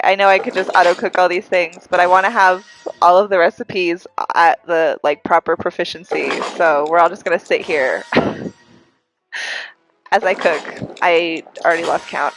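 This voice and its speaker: A woman's voice: on the low side, steady tone, moderate pace, low-quality recording. A congested-sounding woman giving giggly, chatty, self-deprecating commentary while livestreaming a game. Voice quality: congested, audible breathing. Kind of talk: casual livestream gaming commentary. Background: video game music.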